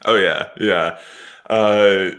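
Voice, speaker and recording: Low-voiced enthusiastic man in casual chat. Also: steady tone, very slow, fairly bright tone. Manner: enthusiastic, nostalgic. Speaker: guest panelist.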